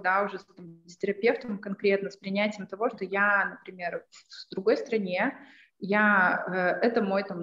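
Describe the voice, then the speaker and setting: Woman lecturing over a video call. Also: mid-range voice. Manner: thoughtful, many fillers and restarts, gestures while talking. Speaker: self-defense and assertiveness trainer. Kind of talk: online lecture. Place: small room indoors. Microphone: laptop webcam on a video call. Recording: echoey room, dull lo-fi sound.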